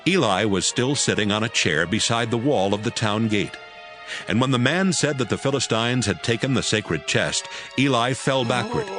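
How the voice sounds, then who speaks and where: A man's voice: mid-range voice, fairly bright tone. A man narrating a dramatized audiobook as a scripted storyteller.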